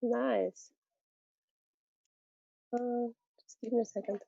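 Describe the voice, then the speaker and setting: A woman's voice: fairly high pitch, fairly even intonation, gravelly, warm tone, no echo. Woman with hosting call. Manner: encouraging, quick short replies. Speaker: host teacher. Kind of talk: casual video-call chat. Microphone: video call. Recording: muffled video-call audio.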